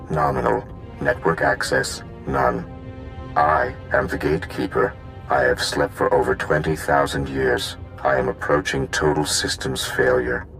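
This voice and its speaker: An actor playing an ancient, dying machine gatekeeper in a video game, solemn and impassive, speaking in formal, clipped reports. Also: male voice, extremely low pitch, a bit bright, exaggerated voice.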